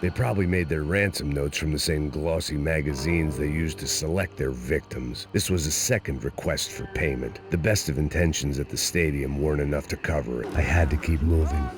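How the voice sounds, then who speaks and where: An actor playing a bitter, hard-drinking investigator who narrates in a terse, sardonic, world-weary noir style. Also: male voice, rumbling low, fairly quick, few pauses, over music. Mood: grim, sardonic.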